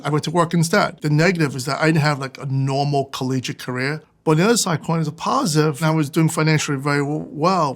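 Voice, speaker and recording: A man, a fund manager talking candidly about his career in a plainspoken, emphatic way. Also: fairly high pitch. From New York City. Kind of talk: conversational interview monologue.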